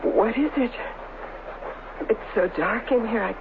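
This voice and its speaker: An actress playing a scientist's devoted, anxious wife in a radio drama, with a tender, theatrical delivery. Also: female voice, on the high side, a little slow. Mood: hushed, fearful. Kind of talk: 1970s radio drama acting. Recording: muffled, hissy old radio broadcast.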